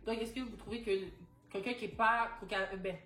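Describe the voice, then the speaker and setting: A woman's voice: mid-range voice, steady tone, brisk, a bit bright. A young woman moderates the talk, posing questions earnestly and adding stories of her own. Her voice sits in a middle register and echoes around the room, with faint music behind. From Quebec. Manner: earnest, asks questions, recounts personal experience. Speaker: talk show host. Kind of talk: moderating a casual discussion.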